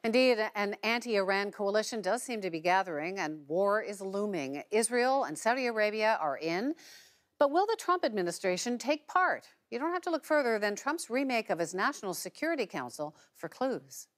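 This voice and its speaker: A woman's voice: medium pitch, sing-song, moderate pace. A woman working as a news presenter, delivering scripted reports and putting questions to guests in a broadcast style.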